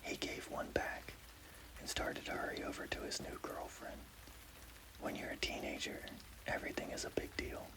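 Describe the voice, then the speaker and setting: Whispering man narrating a scary story. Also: poor audio. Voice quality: breathy unvoiced whisper.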